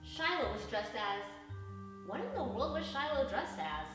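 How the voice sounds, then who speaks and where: A woman narrating a children's storybook in an expressive, animated, dramatic way. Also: sits high, measured pace. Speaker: storybook reader. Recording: echoey room, distant mic, faint music.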